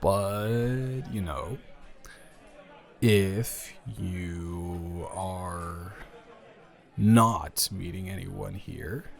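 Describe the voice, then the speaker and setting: A man acts out a smitten young man in an intimate audio roleplay. His voice sits a little low and he takes his time, stammering and wandering through wordy, self-deprecating jokes. He comes across as awkward and jealous beneath the joking. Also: vocal fry, full character voice. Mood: hesitant, earnest.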